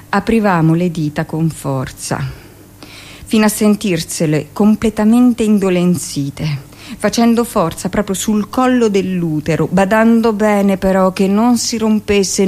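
An actress playing a hardened midwife, recounting hospital memories matter-of-factly in a theatrical radio monologue. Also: female voice, fairly low pitch, a little mellow, close to an everyday voice. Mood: matter-of-fact, grim.

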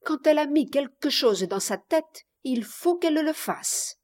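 A woman voicing a young marquise, an indulgent mother, fond and gently chiding, in an audiobook. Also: high voice, expressive, measured pace, pitched above the performer's own voice. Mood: fondly exasperated. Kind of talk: audiobook character voice.

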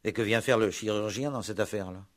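An actor playing a gruff, impatient police commissioner who questions tersely with measured pauses, in a sixties radio play. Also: male voice, on the low side, close to an everyday voice. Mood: probing. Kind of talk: 1960s French-language radio play acting.

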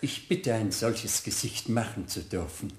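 A man playing a ceremonious, fearful old manservant in a German children's radio play, deferential and gravely superstitious. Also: fairly low pitch, animated, a little slow. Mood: fearful, insistent. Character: fearful, stiffly formal old male manservant. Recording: roomy, slightly hissy old radio play.

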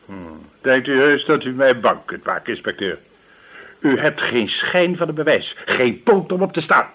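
An actor playing a shifty, indignant suspect who feigns innocence, evasive and protesting, in a radio play. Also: male voice, mid-range voice, animated, moderate pace, a bit bright. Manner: evasive, protesting, smooth-talking. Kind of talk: Dutch radio play dialogue.

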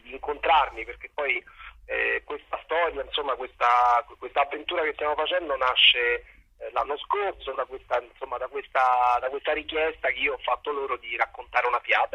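A man's voice: mid-range voice, pushed and bright, husky, rough recording. A man speaking as a guest in a casual interview, searching for words and often repeating them. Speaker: theatre artist, interview guest.

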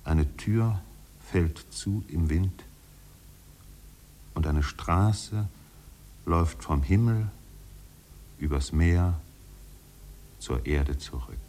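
A man playing a melancholy, lyrical narrator in a 1950s German radio drama, speaking in a measured, poetic, solemn way. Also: sits low, warm tone, slight background noise. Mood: wistful. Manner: measured, poetic, solemn delivery with drawn-out phrases. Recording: 1950s radio studio production.

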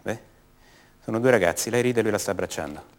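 A man's voice: on the low side, fast, natural voice. An actor playing a troubled young colonel's son in a radio monologue, hesitant and defensive, repeating himself as he doubts who he is. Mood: shaken, subdued. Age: young adult.

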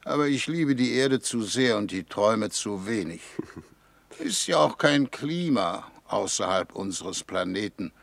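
Slow, creaky, wry statesman. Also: on the low side, animated. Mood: wry, leisurely. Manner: dry, wry, leisurely. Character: genial, dryly ironic foreign minister, reluctant space traveller. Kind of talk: 1950s German radio play acting. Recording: noisy vintage 1950s radio recording.

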